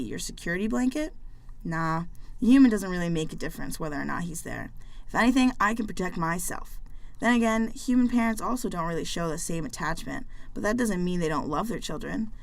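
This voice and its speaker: A woman voicing an aloof, sarcastic pet cat in an animated dialogue, with a dry, dismissive way of talking. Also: medium pitch, gravelly. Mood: dismissive, unbothered.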